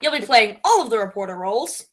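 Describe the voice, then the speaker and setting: Pompous, bossy woman playing a high-voiced radio playwright. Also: expressive, fast talker. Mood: brisk, commanding. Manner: grandiose, imperious, emphatic. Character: pompous, bossy, self-styled genius female radio playwright. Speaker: actress in a stage comedy. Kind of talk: theatrical stage comedy acting.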